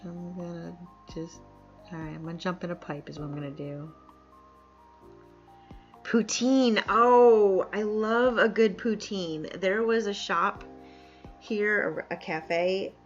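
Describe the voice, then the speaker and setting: A woman's voice: on the low side, vocal fry. Chatty woman streamer, lo-fi mic. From upstate New York. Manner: chatty, laughs often, reads chat aloud. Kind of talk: casual live-stream chat while playing. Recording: dull lo-fi mic with faint music.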